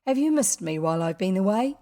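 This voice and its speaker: A woman's voice: fairly high pitch, big pitch swings, a little slow, a little mellow, heavily acted. A woman voicing a mischievous schoolboy in a storybook, stubborn, earnest and rather self-important. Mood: affected, mysterious.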